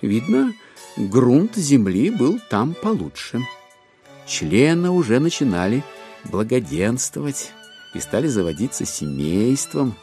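Guy narrating a classic novel like theatre, wry, really expressive, bit of music under it. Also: mid-range voice, slow. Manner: expressive, storytelling with wry irony.

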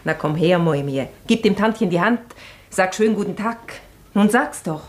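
A woman's voice: mid-range voice, expressive, moderate pace, natural voice. An actress playing a poor, pushy country widow in a German radio play, coaxing and plaintive as a boastful mother.

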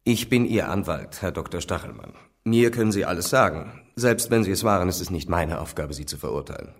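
An actor playing a self-assured, exacting defence lawyer in a radio play, speaking in a deliberate, precise and formal way. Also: male voice, deep voice, lively intonation. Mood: businesslike. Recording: off-mic, slightly distant room pickup.

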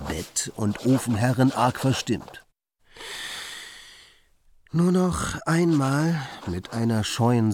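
A man's voice: mid-range voice, a little mellow, a little hiss or hum. An actor playing a wry young bohemian man who recounts his wanderings in a musing literary radio monologue.

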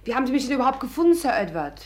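Radio play heroine: young, impulsive, excitable, fairly high voice, dramatic delivery. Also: female voice, racing. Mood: agitated. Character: impulsive, excitable young woman dreaming of luxury. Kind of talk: 1950s German radio play acting.

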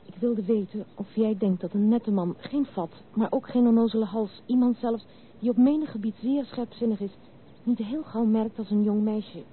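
A woman's voice: a little high, normal speed, lightly acted. An actress playing a spirited but wounded young noblewoman in a Dutch radio drama, speaking candidly and earnestly in literary phrases. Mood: probing, intent. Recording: narrow tinny old radio recording, faint hiss.

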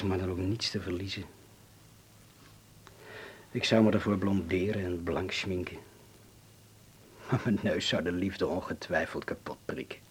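Very deep, formal, grave man. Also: slight background noise. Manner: measured, formal, darkly wry. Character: grave, wry, formal wartime visitor. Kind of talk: radio drama acting. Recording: rumbly old radio play recording.

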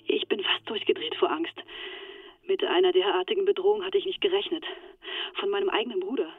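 A woman's voice: medium pitch, a little fast, slightly rough. An actress in a radio play playing a shaken young woman in hiding, confiding over a telephone. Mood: distressed. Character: shaken Turkish-Swiss woman in hiding, recounting betrayal. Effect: telephone filter. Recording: thin, dry phone-line sound.

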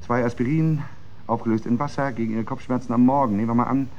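An actor playing a scheming middle-aged married man in a German radio drama, talking in a smooth, evasive, persuasive way. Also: medium pitch, fairly even intonation, quick pace, a little mellow, highs cut off, close to an everyday voice. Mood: conspiratorial. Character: scheming, evasive married man plotting against his wife.

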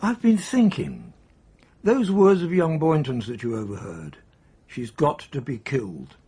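An actor playing a brisk, inquisitive investigator conferring with a detective colleague in a radio drama. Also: male voice, medium pitch, huge pitch swings, mellow, breathy edge, heavily acted. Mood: keen, musing.

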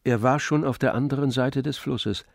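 A man narrating a literary radio drama in a measured, grave manner. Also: medium pitch, creaky, no echo.

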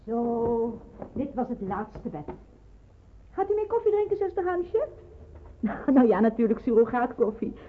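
An actress playing a chirpy, friendly nurse with a breezy, sociable manner in a radio drama. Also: female voice, a little high, big pitch swings, measured pace, long pauses. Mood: cheerful. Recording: tinny old radio recording, hiss.

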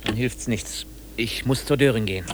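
An actor playing a harried, brusque but helpful chief engineer in a German radio play. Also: male voice, medium pitch, normal speed, noisy background, natural voice. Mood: harassed.

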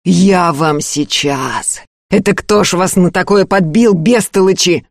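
A woman voicing a gruff peasant man who growls at the women. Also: lowish voice, unhurried, no echo, clean studio sound. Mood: angry growling. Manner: growling, panting. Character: gruff panting peasant man Prokop with whip.

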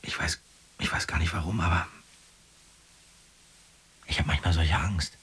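Troubled young man, deep, raspy-breathy, reflective, halting, radio drama. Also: fairly even intonation, fairly bright tone, in a whisper, full character voice. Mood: anxious, confiding. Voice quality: husky, breathy. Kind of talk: radio drama acting, intimate dialogue.

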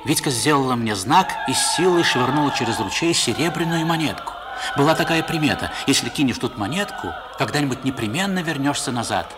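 A man's voice: medium pitch, animated, fairly quick, over loud music, natural voice. A man playing a reflective narrator recalling his boyhood in a 1960s radio play. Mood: urgent. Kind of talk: dramatic first-person storytelling in 1960s radio play.

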